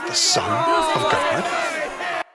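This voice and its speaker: An actor playing a forceful man of authority in a biblical audio drama. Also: very high-pitched, flat delivery, drawn-out words, loud music behind the voice, heavily acted. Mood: shocked. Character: forceful male authority refusing to condemn a prisoner. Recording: noisy crowd, outdoor ambience.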